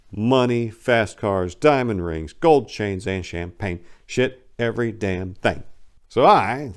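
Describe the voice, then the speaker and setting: A man narrating a first-person story in a dramatic audiobook reading, with a blunt, streetwise, conversational manner. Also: mid-range voice.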